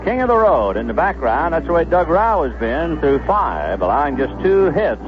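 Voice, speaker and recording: A man doing live radio baseball play-by-play, describing the action in measured phrases with pauses between them. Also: on the high side, sing-song, music in the background. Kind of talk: live radio sports commentary. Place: stadium broadcast booth. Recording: muffled 1970s AM radio broadcast, background noise.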